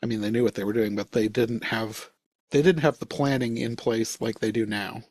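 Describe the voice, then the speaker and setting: A man's voice: mid-range voice, steady tone, moderate pace, darkish tone, no echo. A man talking casually as a podcast co-host, giving his thoughts on a show. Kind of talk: casual chat, review.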